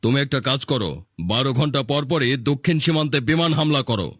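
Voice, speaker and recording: A man's voice: medium pitch, animated, fairly quick, a bit bright, dry recording, clean studio sound. A man playing a cruel, boastful tyrant leader in a cartoon, commanding and sneering. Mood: commanding, gloating. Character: arrogant, cruel tyrant leader gloating over his enemies. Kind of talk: dubbed cartoon villain dialogue.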